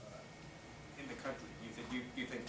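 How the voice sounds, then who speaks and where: A man's voice: medium pitch, fairly even intonation, fast, a bit bright, rough recording. A man interviewing at a press conference, asking long, rambling questions in an informal way.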